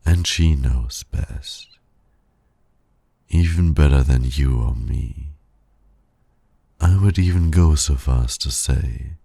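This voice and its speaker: A man playing a suave, flirtatious villain who teases and courts his nemesis in an intimate ASMR roleplay, with a husky, gravelly, breathy voice. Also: very deep voice, dry recording, exaggerated voice. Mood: smug, flirtatious. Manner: slow, breathy, suave teasing with soft chuckles.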